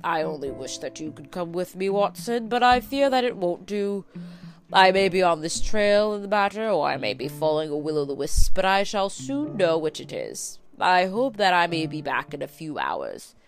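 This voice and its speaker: A shrewd, composed gentleman detective from a classic storybook, voiced by a woman. The delivery is formal, measured and assured, with clear music playing behind. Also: fairly low pitch, very animated. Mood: brisk. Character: confident male detective, brisk, shrewd and composed.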